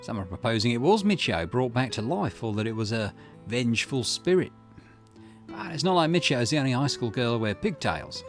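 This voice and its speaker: A man's voice: medium pitch, very animated, brisk. Man reading game dialogue aloud over music. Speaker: gaming channel host reading a visual novel aloud.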